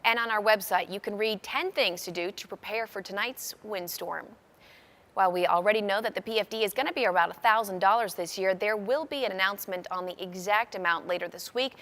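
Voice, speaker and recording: A woman's voice: mid-range voice, fairly quick, a bit bright. A woman anchoring a television newscast, reading scripted news in a presentational way.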